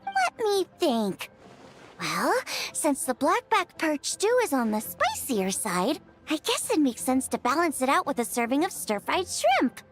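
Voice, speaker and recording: Cheerful young waitress-cook for a video game, extremely high and expressive, reassuring, music clearly underneath. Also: female voice, full character voice. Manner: bubbly, chatty, reassuring. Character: cheerful, confident young girl chef and waitress. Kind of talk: English video game voice acting.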